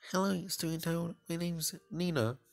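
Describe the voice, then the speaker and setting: A man voicing a shy, clumsy, apologetic anime schoolgirl in a timid, soft-spoken way for a visual novel. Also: a little high, moderate pace, creaky, pitched above the performer's own voice. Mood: shy, earnest.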